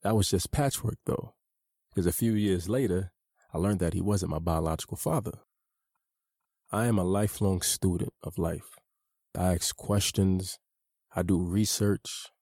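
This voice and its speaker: A man narrating his own memoir audiobook in a measured, earnest, personal way. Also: low voice, creaky, warm tone, no room sound, clean studio sound. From United States.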